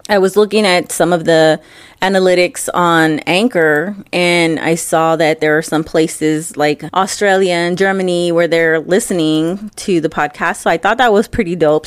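A woman's voice: fairly low pitch, dry recording. A young woman hosting her own podcast in loose, rambling chat, stuffed up from allergies, her breathing close on the mic. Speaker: podcast host. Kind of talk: casual solo podcast chat. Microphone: close mic, breathing audible.

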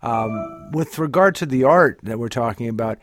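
A male interviewer asking questions in a casual chat, halting and fumbling as he phrases them. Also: medium pitch, expressive, faint music behind.

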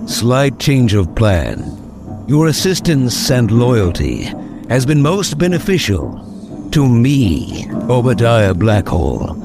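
An actor playing a grandiose, coldly menacing villain with cosmic ambitions in an old-time radio drama, speaking with mocking deliberation. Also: male voice, mid-range voice, sing-song, slow pace. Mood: cold mockery. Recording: dramatic music behind.

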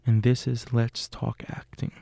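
A man's voice: mid-range voice, level tone, unhurried, vocal fry, dark tone, no echo. A young man from Puerto Rico, a native Spanish speaker with a Puerto Rican Spanish accent, hosting a solo podcast in an earnest way, with frequent fillers and self-corrections. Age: young adult. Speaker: podcast host.

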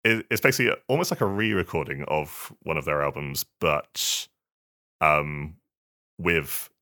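A man co-hosting a podcast, chatting casually in a halting way and often repeating his words. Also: a bass voice, pushed and bright. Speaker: podcast co-host. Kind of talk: casual chat.

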